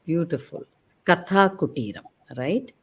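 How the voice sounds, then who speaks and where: A woman's voice: lowish voice, animated, measured pace, breathy edge. A woman hosting a radio interview, effusive and full of praise, with many fillers. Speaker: radio show host. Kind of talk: interview talk.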